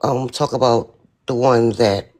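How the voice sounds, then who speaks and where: A woman with a deep, raspy voice, talking bluntly as a panelist in a casual live-stream discussion. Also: fairly even intonation, unhurried, darkish tone. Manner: blunt, talks in long stories.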